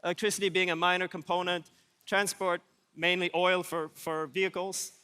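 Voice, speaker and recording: A man presents to a conference in a high, clean voice. His delivery is casual and dryly wry, and the sound rings with the long reverb of a large hall. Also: level tone, normal speed, pushed and bright, clean tone. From Sweden. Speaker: conference speaker.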